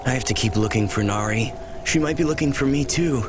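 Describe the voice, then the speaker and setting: A man's voice: mid-range voice, a little fast, lightly acted. A man playing a confused, lovelorn, childlike old soul in a video game, speaking earnestly and naively. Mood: earnest, hopeful. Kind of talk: video game dialogue acting.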